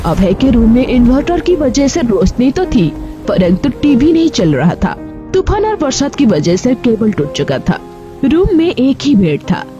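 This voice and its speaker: Female story narrator, high and animated delivery, scripted read over a music bed. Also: unhurried, lo-fi sound. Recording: music behind the voice.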